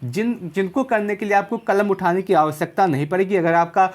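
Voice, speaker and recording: A woman's voice: mid-range voice, fairly even intonation, brisk, darkish tone. A woman presenting an informal exam analysis to students, explaining things and repeating key points for emphasis.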